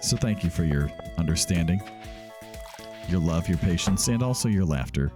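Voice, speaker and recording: Male let's play host, slightly low pitch, casual and rambling, self-deprecating, music clearly audible underneath. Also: expressive, normal speed. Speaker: gaming YouTuber hosting a let's play. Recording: music behind the voice. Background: background music.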